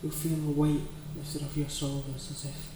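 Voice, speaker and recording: A man's voice: fairly high pitch, completely flat delivery, normal speed, very echoey, natural voice. Casting: man voicing a genteel, covetous demon for ASMR roleplay; ornate diction, distant from the mic, noisy ambient recording. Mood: seductive, possessive. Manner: formal, genteel, ornate phrasing. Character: suave, courtly male demon coveting a lonely person's heart. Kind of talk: ASMR roleplay, scripted dramatic monologue.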